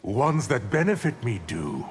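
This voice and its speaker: A man voicing an arrogant, sinister underworld sorcerer in a video game, with a smug, taunting, deliberate delivery. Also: medium pitch, big pitch swings. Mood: smug menace. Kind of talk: video game villain acting. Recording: game dialogue with music behind.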